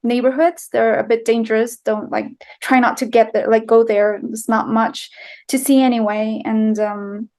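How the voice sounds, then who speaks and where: Chatty Colombian woman, higher voice. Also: steady tone, normal speed, darkish tone. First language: Spanish. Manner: chatty, full of fillers and restarts, laughs easily. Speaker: guest, writer and filmmaker. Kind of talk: casual interview answers.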